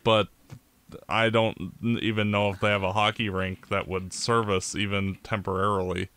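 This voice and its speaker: A Canadian man co-hosting a podcast, giving blunt opinions in casual sports chat, with halting restarts. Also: on the low side, level tone, sharp tone. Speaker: podcast co-host.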